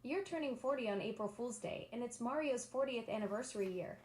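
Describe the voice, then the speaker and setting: A woman's voice: fairly high pitch, brisk, darkish tone, reverberant, a little hiss or hum, low-quality recording. A synthetic female voice assistant talking in a bubbly, enthusiastic, eager-to-please way. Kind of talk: upbeat synthetic assistant chat.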